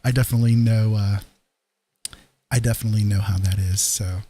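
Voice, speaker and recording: A man's voice: lowish voice, steady tone, a little fast, dark tone, husky. Friendly, rambling American man chatting on stream. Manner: friendly, rambling, reassuring, chuckles often. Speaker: live streamer host. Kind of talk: casual livestream chat to viewers.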